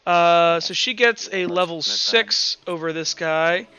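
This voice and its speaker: A man improvising narration as a game master, halting and thinking aloud as he works through the rules. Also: fairly high pitch, bright, forceful tone, highs cut off. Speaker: game master of a tabletop roleplaying game.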